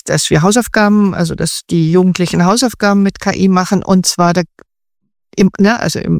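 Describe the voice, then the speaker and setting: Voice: medium pitch, creaky, no room sound, clean studio sound. An interview guest answering in a chatty way, thinking aloud with many fillers and restarts. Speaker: guest expert on AI.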